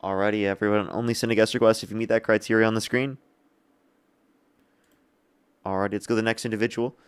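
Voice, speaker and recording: A young man runs a live call-in debate stream, rattling through callers at a very fast clip. His voice sits on the lower side, and he cycles through the same brisk stock phrases for viewers. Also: steady tone, smooth. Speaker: livestream debate host.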